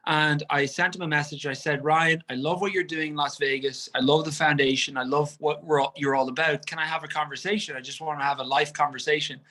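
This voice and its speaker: Coach, 30s, earnest, bright higher voice, video-call interview. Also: male voice, fairly even intonation, a little fast, hardly any pauses. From Ireland. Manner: earnest storytelling with frequent restarts. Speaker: tennis coach. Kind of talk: conversational interview answers.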